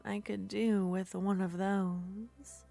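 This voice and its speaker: A woman performing an ASMR roleplay as a jealous, possessive witch, speaking softly and often whispering. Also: medium pitch, level tone, slow pace, vocal fry, faint music behind. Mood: teasing. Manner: soft-spoken, teasing, often whispering. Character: jealous possessive young witch, teasing and haughty.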